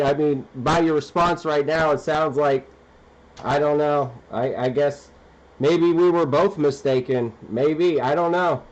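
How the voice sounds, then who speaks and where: A man's voice: a little high, darkish tone. An American man chatting casually over amateur radio in an informal, somewhat hesitant way. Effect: radio transmission. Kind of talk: casual two-way ham radio conversation. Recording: dull, band-limited ham radio transmission.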